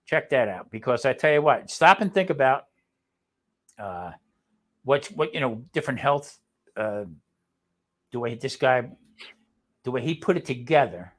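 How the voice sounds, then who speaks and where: A man's voice: medium pitch, lively intonation, measured pace, pauses often. A man with a New Jersey accent, chatting and telling stories as a livestream co-host, blunt and rambling, often checking that listeners follow. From New Jersey, United States. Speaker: live show co-host. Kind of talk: casual livestream chat and storytelling.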